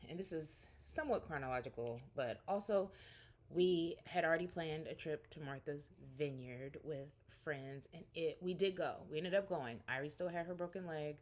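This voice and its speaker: A woman's voice: sits low, moderate pace. A woman in her forties recording a casual, rambling catch-up vlog at home, talking candidly to her viewers. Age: 40s. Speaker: vlogger.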